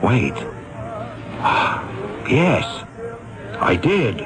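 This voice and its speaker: An actor playing a troubled, lonely scientist, hesitant and brooding, in a 1970s radio drama. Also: male voice, medium pitch, wildly swooping pitch, drawn-out words, heavily acted. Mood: searching, hesitant. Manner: hesitant, brooding, searching his memory. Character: troubled, lonely, self-doubting male scientist. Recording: vintage radio drama with music behind.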